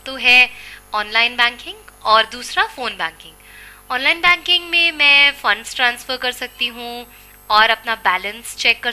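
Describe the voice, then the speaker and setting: An Indian woman explains things in an interview with a very bright, piercing voice, fairly high in pitch. Faint music plays behind her. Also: poor audio.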